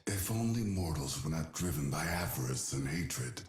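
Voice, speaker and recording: A man voicing an ancient, wise god guardian in a video game, speaking in a grave, measured, formal and patient way. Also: rumbling low, lively intonation. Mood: solemn, disdainful. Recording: echoing voice over music.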